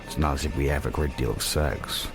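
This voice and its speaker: A worldly, watchful protagonist telling his own story in a radio drama. His voice is extremely deep, with a dry, measured, inward-looking delivery. Music runs softly underneath. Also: fairly even intonation. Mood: pensive, wry. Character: worldly, reflective, watchful male narrator-protagonist. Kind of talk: first-person radio drama narration.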